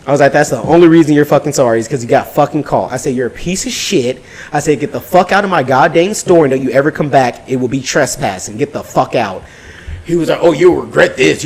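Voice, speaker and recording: A man telling a story in an animated, casual way, swearing freely in slangy speech and acting out both sides of arguments. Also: a little high, slight background noise. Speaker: podcast host recapping his weekend.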